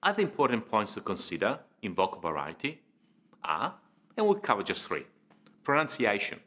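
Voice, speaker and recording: A man in his fifties giving an instructional talk to camera as a speaking trainer, with deliberate, emphatic phrasing and pauses. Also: on the low side, wildly swooping pitch, a bit bright. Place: indoor studio.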